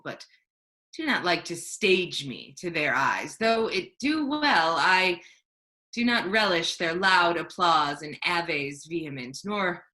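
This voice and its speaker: A woman playing a grave, courteous male ruler in a staged Shakespeare reading, formal and measured in phrasing. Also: fairly low pitch, pushed and bright, heavily acted. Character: grave, courteous male ruler handing over his power.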